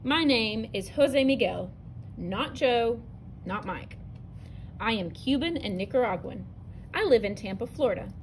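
A woman teacher reading a narrative poem aloud from a picture book, clear and expressive, with a few small restarts. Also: fairly high pitch, unhurried, slight background noise. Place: indoors, at a table over an open book.

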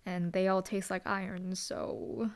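A woman's voice: mid-range voice, little pitch movement, measured pace, natural voice. A young woman voicing a dry, teasing female hellhound demon bodyguard in an audio roleplay. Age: young adult. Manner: dry, teasing, laid-back.

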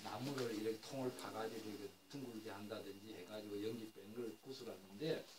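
A man's voice: lowish voice, steady tone, quick pace. An older man giving a classroom lecture on traditional floor heating, rambling and correcting himself, with many fillers as he explains. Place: lecture room. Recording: echoey room, recorded from a distance with faint noise.

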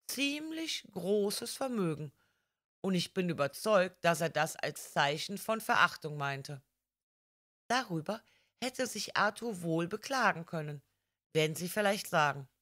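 Woman reading as a slow-spoken, proud young woman. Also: mid-range voice, a bit bright. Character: proud, defiant young red-haired woman confiding family secrets. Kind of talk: audiobook character reading.

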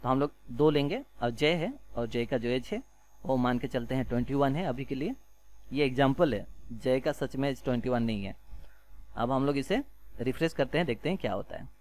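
An Indian man narrates a screencast programming lesson in a mid-pitched voice. He explains each step informally, with a modest, self-deprecating manner and frequent small check-in phrases. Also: expressive, normal speed, a little mellow. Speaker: programming tutorial instructor.

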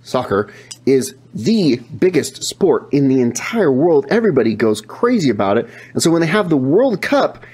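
A man's voice: medium pitch, big pitch swings, normal speed, a little mellow, husky. A man in his thirties with an American accent, chatting casually as a podcast host on a video call. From United States. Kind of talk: casual conversational interview.

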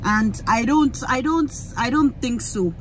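A young Nigerian woman with a Yoruba-flavoured accent, vlogging into a phone in a car, talking in an animated, emphatic way with many fillers and restarts. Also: a little high, a little slow, a little hiss or hum. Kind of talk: casual rant to camera. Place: inside a parked car. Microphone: phone camera held close.

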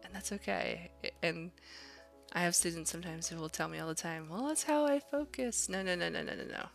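A woman's voice: on the low side, fairly quick, vocal fry, faint music behind. A woman art instructor giving a casual demonstration, thinking aloud in an encouraging way as she draws. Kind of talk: casual drawing demonstration narration.

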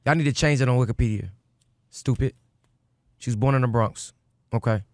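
Male radio host, young, rapid-fire, playful hype, dry close mic. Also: mid-range voice, dry recording. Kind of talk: casual radio interview. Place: radio studio. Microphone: studio mic on boom arm.

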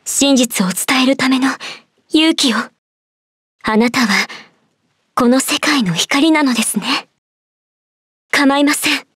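A woman voicing a gentle, devout young cleric in a game, speaking softly and prayerfully. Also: high voice, moderate pace, long pauses, slightly rough, no room sound, heavily acted. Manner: soft, prayerful, earnest. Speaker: game character. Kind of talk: game voice clips. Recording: dry studio recording.

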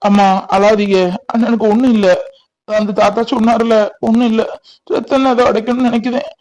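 A man's voice: very high voice, level tone, a little fast, darkish tone, low-quality recording. A man playing a caring younger brother in a family melodrama, earnest and reassuring, with a southern Tamil Nadu accent.

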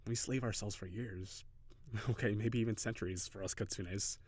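A man's voice: fairly low pitch, steady tone, moderate pace, dry recording, natural voice. A man playing a shy, cheery kitsune barista, close-up. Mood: earnest, encouraging. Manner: hesitant, giggly, encouraging, softly flirtatious. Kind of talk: intimate audio roleplay addressed to the listener.

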